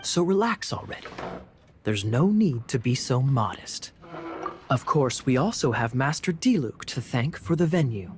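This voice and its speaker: A man's voice: medium pitch, lively intonation, measured pace, darkish tone, soft background music, close to an everyday voice. A man voicing a charming knight captain who talks in an easygoing, playful way. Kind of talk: video game voice acting.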